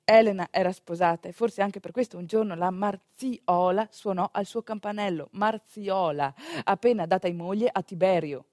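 A woman actress telling a story as a theatrical monologue, expressive, colloquial and confiding, with a northeastern Italian accent. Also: mid-range voice, normal speed, no room sound, studio quality.

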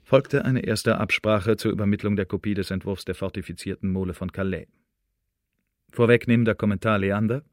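An actor in a radio play playing an exacting secret agent who delivers a formal report in a clipped, officious manner. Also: male voice, on the low side, fairly quick, no echo, clean studio sound, natural voice. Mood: brisk, factual.

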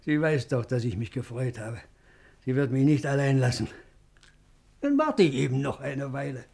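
An actor playing an anxious, hopeful father in a 1950s German radio play, halting and agitated, often repeating words. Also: mid-range voice, expressive, creaky, darkish tone. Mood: hurt, hopeful. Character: anxious, hopeful father waiting for his daughter.

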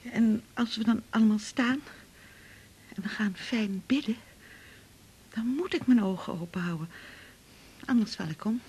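A woman's voice: a little high, unhurried, long pauses, a little mellow, husky. An actress playing a timid, devout married woman at the doctor's in a radio drama, anxious, hesitant and half-whispering. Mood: confiding, worried. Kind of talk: naturalistic 1980s radio drama acting.

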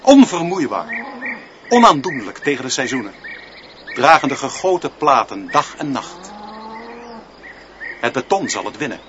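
A man's voice: medium pitch, sing-song, a little slow, pauses often, background noise. A man acting as an opinionated, pedantic schoolteacher in a radio drama, lecturing in a declamatory way. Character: opinionated, pedantic male schoolteacher leading pupils. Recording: dull recording with music behind.

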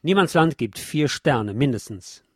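A man's voice: mid-range voice, animated, unhurried, over loud music. A man hosting a radio show, reading a film review from a script with chatty asides to his listeners.

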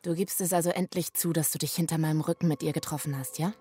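A woman's voice: low-pitched, steady tone, fast, background noise, natural voice. An actress playing a jealous, manipulative woman in a radio crime drama, shifting between controlled sweet talk and menace. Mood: coldly soothing, accusing. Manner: controlled, soothing endearments turning to menace.

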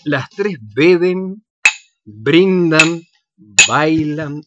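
A woman's voice: low voice, animated, very slow, muffled recording, over loud music. A woman narrating the action of a radio play from a script.

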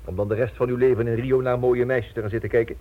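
An actor playing a defiant captive in a radio drama, speaking with dry irony. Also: male voice, mid-range voice, steady tone, fast talker, lightly acted. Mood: sardonic. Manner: dry, ironic. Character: dry, defiant, sardonic male captive of criminals. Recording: tinny, narrow old radio recording.

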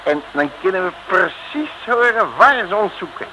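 An actor playing a cheeky, cocky detective in an old Dutch radio serial, bantering in a brash, folksy way. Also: male voice, high voice, sing-song, normal speed, creaky, background noise, full character voice. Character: cheeky, cocky male detective on the run at sea. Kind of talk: 1950s-60s Dutch radio serial acting.

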